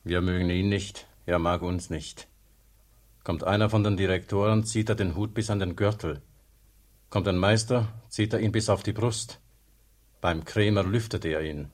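Radio play casting: a blunt village labourer, low-pitched, resentful, grumbling. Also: male voice, fairly even intonation, normal speed. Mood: grumbling, curious. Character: plain-spoken, resentful village working man.

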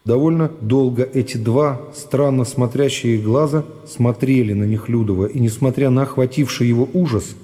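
A man's voice: medium pitch, moderate pace, hardly any pauses, dark tone. A man narrating a classic novel for a radio play in a dramatic literary style.

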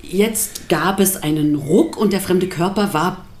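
An actress playing a pastor's wife in a radio drama, recounting strange events in detail with dry irony. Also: female voice, lowish voice, a little slow, a little mellow, reverberant, natural voice. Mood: measured, wondering.

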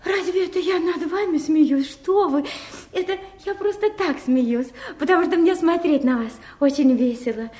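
A woman playing a gentle, naive young princess in a fairy-tale audio play, childlike and artless in manner with breathy exclamations. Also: high-pitched, moderate pace, echoey room, lightly acted. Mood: amused. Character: gentle naive young princess, tearful, self-doubting, easily delighted. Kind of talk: theatrical fairy-tale radio play acting.

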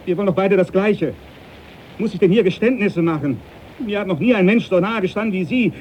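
A hot-tempered, God-fearing captain played by a man in a radio play. His voice sits fairly high yet stays mellow and dark-edged, and he speaks with stubborn, emphatic bluster. A faint noise lies under the recording. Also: a little fast, lightly acted, poor audio. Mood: conciliatory, eager. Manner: blustering, emphatic, short exclamations. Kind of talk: radio drama acting.

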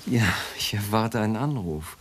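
Actor as a low-voiced, placating secret lover. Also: male voice, animated, moderate pace, lightly acted. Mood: evasive, cajoling. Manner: placating, hesitant. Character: soothing, evasive secret lover of a married woman. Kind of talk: 1960s German radio play acting. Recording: noisy old radio recording.

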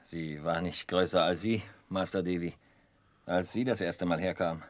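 An actor playing a shy, sturdy, devoted young fisherman in a 1960s radio play, speaking haltingly and earnestly, repeating words. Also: deep voice, steady tone, dry recording, close to an everyday voice. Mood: earnest, tender.

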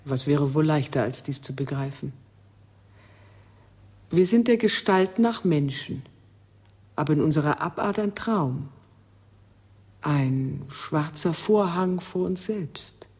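Slow, husky, enigmatic seductress. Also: female voice, low voice, expressive, darkish tone, exaggerated voice. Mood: cool, enigmatic. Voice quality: husky, breathy. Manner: slow, deliberate, theatrical. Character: enigmatic seductive woman, coolly commanding, melancholy. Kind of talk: German radio drama acting.

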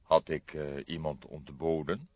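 A man voicing an old grizzled police detective in an audiobook, calm, dry, wry and deliberate. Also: extremely low pitch, no echo, dull audio. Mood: pensive.

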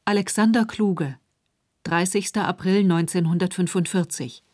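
Low-voiced female radio announcer. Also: steady tone, moderate pace, darkish tone, no echo, studio quality. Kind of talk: scripted title and credits announcement.

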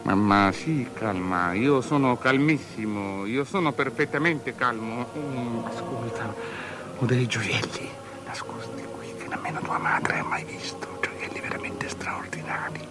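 A man's voice: mid-range voice, a little slow, over music, lightly acted. An actor playing an anxious, superstitious king in an avant-garde radio drama, declaiming theatrically and sliding into whispers, on a noisy recording. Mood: coaxing, lavish. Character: anxious, superstitious king pleading and bargaining with a princess.

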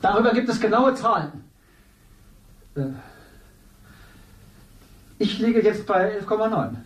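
An actor in a 1970s radio drama, playing a self-important politician who is also an actor. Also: male voice, high voice, a little slow. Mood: irritable. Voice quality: defensive and self-important, with lively swings. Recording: echoey room, voice at a distance.

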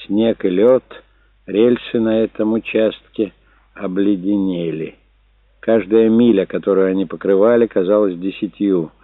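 Male audiobook narrator, low voice, dramatic storytelling, tinny band-limited sound, dry. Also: dry recording. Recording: dull narrow phone-like sound.

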